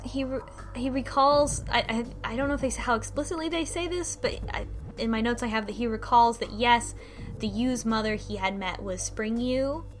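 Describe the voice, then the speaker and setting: A woman's voice: fairly high pitch, moderate pace, faint music behind. A woman co-hosting a podcast, casually recapping a story in a conversational way with wry asides. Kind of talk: casual plot recap discussion.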